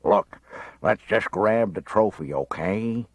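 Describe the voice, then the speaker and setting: A man voicing a gruff, scheming male con-man mentor who growls and snaps his orders. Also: fairly low pitch, animated, slow, no echo. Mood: snarling. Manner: growling, snapping, curt.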